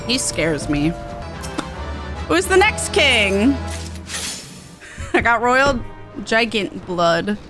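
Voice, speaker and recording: A woman's voice: fairly high pitch, very animated, very slow, pauses often, music in the background. A woman streamer giving casual game commentary in a playful, reactive way.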